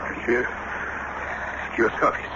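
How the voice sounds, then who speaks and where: An actor playing a flustered, courteous police commissioner in an old radio drama, halting and deferential. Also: male voice, lightly acted. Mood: flustered, officious. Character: flustered, courteous French police commissioner, male, middle-aged. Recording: muffled, very noisy old radio recording.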